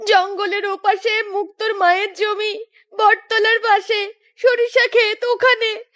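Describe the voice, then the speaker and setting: A woman's voice: extremely high pitch, little pitch movement, measured pace, fairly bright tone. A woman voicing a distraught pregnant young village widow in a melodramatic cartoon, tearful, desperate and pleading.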